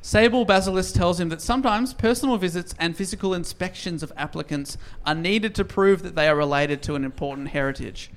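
A man's voice: high voice, fairly quick, hardly any pauses. A man hosting a casual podcast, recapping a story with jokes and frequent laughter. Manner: jokey, laughs while telling. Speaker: podcast host recapping a novel.

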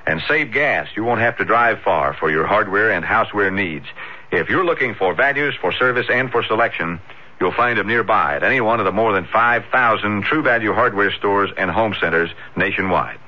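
A man's voice: fairly low pitch, normal speed. A man reading a scripted radio advertisement as a commercial announcer. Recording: muffled old radio broadcast.

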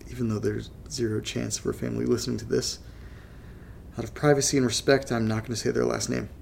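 Gravelly, congested young man, troubled and hesitant, confessional horror podcast diary, faint rumble behind. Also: level tone, fast, darkish tone. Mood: troubled, searching. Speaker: podcast narrator in horror audio drama. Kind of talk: confessional audio diary, casual halting monologue.

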